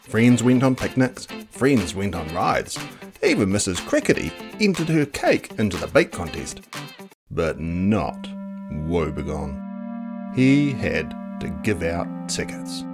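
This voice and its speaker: Very animated, slow-paced storybook narrator. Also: low-pitched, music in the background. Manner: dramatic, playful. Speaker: children's book narrator. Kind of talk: expressive storybook read-aloud.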